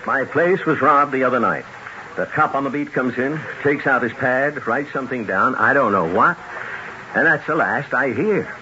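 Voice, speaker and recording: An actor playing an irritated, talkative gun dealer with brash, slangy speech in a 1970s radio drama. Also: male voice, medium pitch, moderate pace, close to an everyday voice. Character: irritated, talkative gun shop owner, a man. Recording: muffled, noisy 1970s radio recording.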